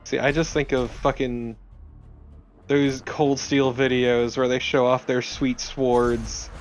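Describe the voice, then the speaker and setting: Male co-commentator, medium pitch, dry teasing humour and loose profanity, game music softly behind. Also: little pitch movement, slow pace. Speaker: let's play co-commentator. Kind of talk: casual gaming banter. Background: game music and sounds.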